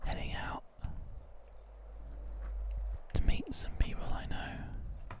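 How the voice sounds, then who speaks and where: A man whispering slowly into a binaural microphone, musing through an ASMR gameplay monologue. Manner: soft unvoiced whisper, slow musing questions. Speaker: ASMR gaming host.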